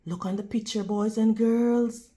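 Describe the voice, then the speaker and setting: A woman reading a children's storybook aloud like a teacher, deliberate and instructional toward young listeners. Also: a little high, steady tone, dark tone. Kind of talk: children's storybook read-aloud with prompting questions.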